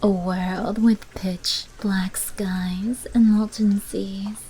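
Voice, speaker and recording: Dark soft-toned vampire woman actress in role, unhurried delivery, obedient mob underling with a wry streak, fantasy audio drama, background noise. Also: medium pitch, little pitch movement, low-quality recording. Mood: curious, wry. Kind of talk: scripted fantasy audio roleplay.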